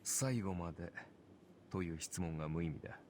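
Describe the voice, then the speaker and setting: An actor playing a calm, resigned knight in a Japanese visual novel, speaking in a terse, dismissive manner. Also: male voice, low voice, fast. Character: cynical red-cloaked male knight, calm and resigned.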